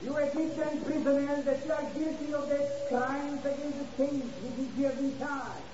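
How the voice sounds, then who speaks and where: An actress in a 1950s radio drama playing a stern show-trial court official, formal and declamatory. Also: female voice, high voice, flat delivery, normal speed, natural voice. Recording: muffled, echoey, distant, noisy crowd behind.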